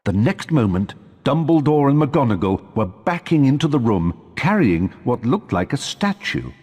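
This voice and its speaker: A man's voice: mid-range voice, very animated, normal speed, darkish tone, slightly rough, long reverb tail. A man narrating a scripted story for a video game as its storyteller.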